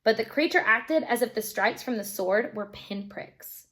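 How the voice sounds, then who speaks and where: A woman with a fairly high voice narrates a children's story. She reads expressively, as a teacher reading aloud to young listeners. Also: normal speed, a bit bright.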